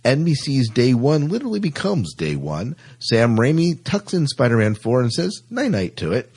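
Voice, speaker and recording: A man casually hosting a science fiction podcast in a chatty, playful way, with a husky voice. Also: medium pitch. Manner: animated, playful. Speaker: podcast host.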